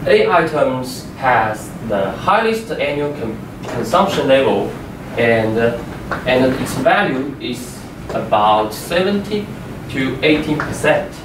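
A man's voice: medium pitch, sing-song. Young man presenting in an echoey room. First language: Chinese. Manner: halting, repeats words while explaining slides. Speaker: student presenter. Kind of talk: class project presentation. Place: classroom with a projector screen, indoors. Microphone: far room microphone. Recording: echoey room from far off, noisy.

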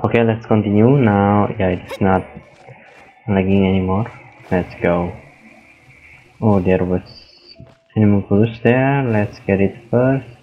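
A man giving casual let's play commentary in halting, short, simple remarks. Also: on the low side, a little hiss or hum. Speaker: gaming video host. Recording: dull microphone, faint game music.

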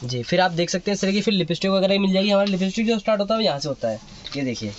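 Fast-talking high-voiced shopkeeper. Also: male voice, animated, background noise. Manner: quick, persuasive salesman patter, repeats prices. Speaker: wholesale shop owner. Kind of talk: sales pitch to an interviewer. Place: busy wholesale market shop. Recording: dull lo-fi sound, loud market crowd.

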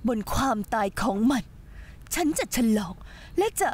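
A menacing young woman full of jealousy and spite. Her high voice leaps up and down as she gloats in this dubbed TV drama. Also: sing-song, measured pace, husky, heavily acted. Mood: gloating. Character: vengeful obsessive young woman, jealous and threatening.

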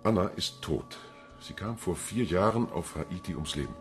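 A defensive, low-voiced male suspect. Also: lively intonation, moderate pace, music in the background. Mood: indignant, guarded. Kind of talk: German radio crime drama acting.

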